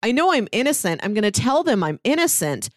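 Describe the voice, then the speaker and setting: A woman's voice: on the high side, very animated, a little fast, no echo, clean studio sound. A woman co-hosting a podcast, chatting casually and retelling scenes by voicing what the characters think. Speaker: podcast co-host. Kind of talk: casual comic book review chat.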